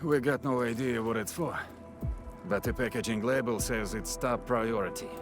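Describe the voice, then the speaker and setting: A man's voice: mid-range voice, moderate pace, music in the background, close to an everyday voice. A man playing a friendly, grateful worker in a video game, casual and earnest. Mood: eager.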